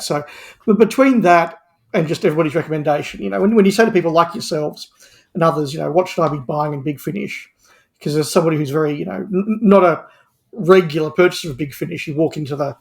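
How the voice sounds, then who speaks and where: Man, fairly high voice, gravelly creak, casual podcast guest. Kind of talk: casual chat.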